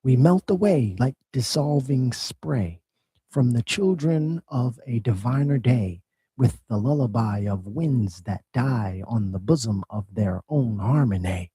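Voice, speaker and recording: A man's voice: mid-range voice, unhurried, dark tone, husky, dry recording. A man chanting as a mournful chorus of dead hours. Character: chorus of dark hours bearing time's bier.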